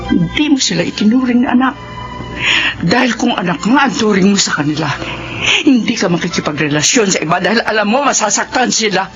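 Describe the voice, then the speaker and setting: Actress doing a sad tough mom in a radio drama, middle pitch, music behind, dull sound. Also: female voice, lively intonation, brisk, close to an everyday voice. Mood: firm pleading. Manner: pleading yet firm. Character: adult mother, betrayed wife, firm and sorrowful. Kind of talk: Filipino radio drama acting.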